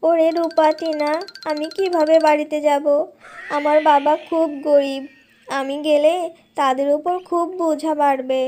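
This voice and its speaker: A woman's voice: sits high, little pitch movement, measured pace, warm tone. A woman voicing a young, chatty, bossy and scheming fox wife in a cartoon, with exaggerated, sing-song delivery. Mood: worried. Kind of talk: Bengali cartoon voice acting.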